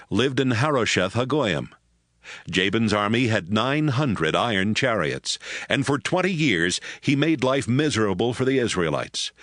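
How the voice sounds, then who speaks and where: A man narrating scripture in a steady, measured storytelling voice. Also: fairly low pitch, no echo, clean studio sound. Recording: narration over background music.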